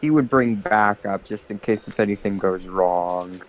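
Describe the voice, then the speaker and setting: A man's voice: on the low side, moderate pace, crowd noise, low-quality recording. A man chatting casually with friends during a video game. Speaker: gamer in a group let's play. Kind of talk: casual chat during gameplay.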